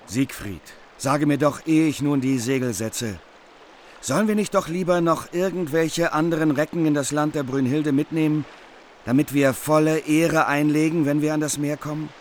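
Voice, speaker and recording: A man's voice: fairly high pitch, normal speed, slight background noise, lightly acted. An actor playing an earnest, noble king in a German radio drama. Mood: concerned, questioning. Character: noble king courting a distant queen, earnest. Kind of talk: German radio play acting.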